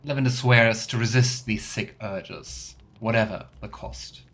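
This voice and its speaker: A man's voice: on the low side, a little slow, a bit bright. A man doing casual let's play commentary, thinking aloud and musing as he role-plays.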